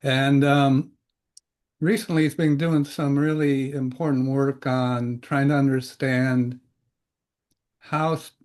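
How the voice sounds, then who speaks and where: A man's voice: mid-range voice, flat delivery, slow pace, darkish tone. Older male podcast host, rambling. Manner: rambling, loosely phrased questions. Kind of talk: conversational interview.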